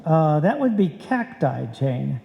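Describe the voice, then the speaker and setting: Wry, put-upon radio comedy husband played by a woman, pedantic, unhurried, animated pitch, reverberant stage. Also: gravelly, full character voice. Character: wry, long-suffering husband who narrates. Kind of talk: live old-time radio comedy acting. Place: live stage in a hall. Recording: dull echoey hall recording.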